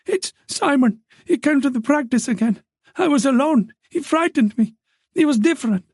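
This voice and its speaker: A man's voice: very high voice, animated, moderate pace, a little mellow, no room sound, clean studio sound, voice pushed up. A man voicing a frightened young woman who apologizes and pleads as she tells her story.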